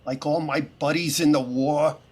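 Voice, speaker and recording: Man playing slow-witted shell-shocked veteran, obedient, childlike, raspy, higher-pitched, old-time radio drama. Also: steady tone, natural voice. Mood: childlike, devoted. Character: simple-minded, obedient shell-shocked war veteran, gangster's brother. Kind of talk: 1930s radio crime drama acting.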